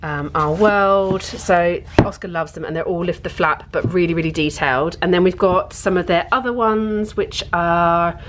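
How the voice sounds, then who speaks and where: A woman's voice: on the low side, moderate pace, few pauses, slight background noise. A woman giving a casual, informal tour at home, explaining things in a chatty way as a parenting vlogger. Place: indoors at home, in a playroom.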